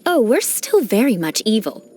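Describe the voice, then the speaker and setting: A woman's voice: fairly high pitch, big pitch swings, normal speed, darkish tone, husky. A woman playing a proud, vengeful yet caring young aristocrat knight in a video game, speaking in a haughty, formal, articulate manner. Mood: haughty, teasing. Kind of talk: video game character voice acting.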